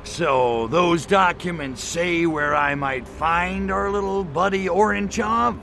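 A man's voice: sits high, takes it slowly, gravelly, bright, forceful tone, a little hiss or hum, full character voice. A man voicing a cocky, wisecracking alien invader in a video game, sarcastic and slangy. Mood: sardonic. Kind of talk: video game cutscene acting. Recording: music behind the voice.

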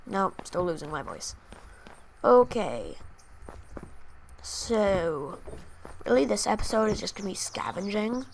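A woman talks through her game in a relaxed, thinking-aloud way, as if chatting to her viewers. Her voice sits at a middle pitch with a noticeable gravelly fry, and she speaks into a new desktop microphone. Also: takes it slowly, long pauses, slight background noise. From Canada. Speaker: gaming channel host. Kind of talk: casual gameplay commentary.

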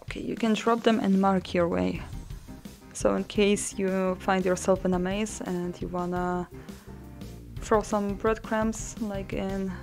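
Young woman narrating gameplay from her desk, gravelly fry in her voice, chill and dry, game music underneath. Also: mid-range voice, slow pace. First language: Polish. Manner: relaxed, dry humor, thinks aloud with ums. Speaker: mod developer showing a game. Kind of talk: casual gameplay commentary.

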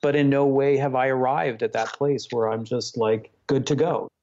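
A man's voice: medium pitch, flat delivery, normal speed, a little mellow, lo-fi sound. A man who grew up in Hong Kong, a therapist and teacher, telling his life story in an earnest, candid way and searching for words. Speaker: podcast guest, psychotherapist and Buddhist teacher. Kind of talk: reflective personal storytelling.

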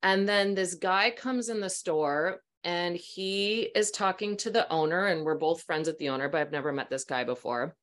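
A woman in her forties hosting a video call from a small room at home, telling stories in an animated, chatty way and acting out voices along the way. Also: fairly low pitch, moderate pace, few pauses. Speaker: host, sound healing practitioner. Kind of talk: casual storytelling on a video call. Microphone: video call webcam mic.

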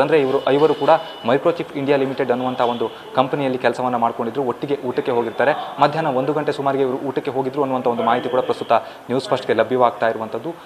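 A young man reporting live from a hospital entrance into a handheld microphone, with wordy, repetitive phrasing. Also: medium pitch. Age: young adult. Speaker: field reporter. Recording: clearly noisy live location feed.

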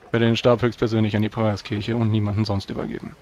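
A man speaking formally and deliberately as a proud, pious knight in a tabletop role-playing game. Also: lowish voice, little pitch movement, a little mellow. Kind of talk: in-character role-play narration. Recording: clear background noise.

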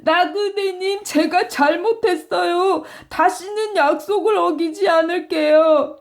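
A woman's voice: very high voice, slow, voice pushed up, heavily acted. A woman voicing a sly, ungrateful male tiger in a children's storybook, switching between false politeness and bullying. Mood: tearful begging.

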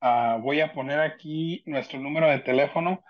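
A man's voice: fairly high pitch, moderate pace. A man giving an informal explanatory online presentation as a legal representative, talking in a conversational, somewhat hesitant way over a video call. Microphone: video call computer microphone. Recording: dull lo-fi video call audio.

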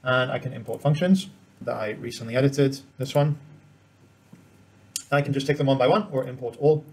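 A man's voice: mid-range voice, steady tone, normal speed. A man presenting an informal screen-share tutorial, explaining each step as he demonstrates it. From Cambodia.

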